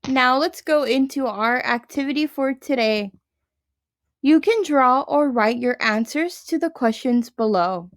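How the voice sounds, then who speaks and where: A woman's voice: high-pitched, animated, unhurried. A young adult woman, a first grade teacher, reading a picture book aloud to her class and talking to her students.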